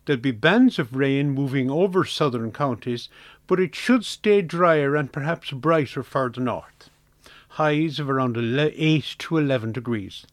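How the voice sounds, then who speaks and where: A man reading the weather forecast on community radio in a plain, steady way. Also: medium pitch, measured pace, no echo. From Ireland.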